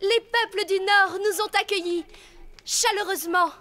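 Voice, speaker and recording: A woman's voice: very high-pitched, fairly even intonation, unhurried, sharp tone, heavily acted. A woman voicing a brave, noble young princess in a cartoon dub, speaking earnestly and solemnly. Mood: solemn, proud. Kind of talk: French cartoon dubbing.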